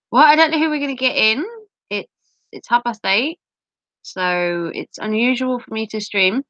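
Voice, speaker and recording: A British woman streams while sick with a cold, her voice wheezy and broken by throat clearing. She rambles casually with lots of ums and self-mocking jokes, her tone bright and forceful. The close mic sounds dry and a little dull. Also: on the high side, expressive, slow, pauses often, dry recording. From United Kingdom. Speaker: livestream host. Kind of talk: casual livestream chat.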